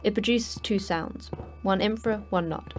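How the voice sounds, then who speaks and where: An actress playing the terse, dry manager of a secret prison for monsters in a sci-fi horror audio drama, speaking in a clipped, matter-of-fact way. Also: female voice, medium pitch, measured pace, soft background music, lightly acted. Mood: dry, grim.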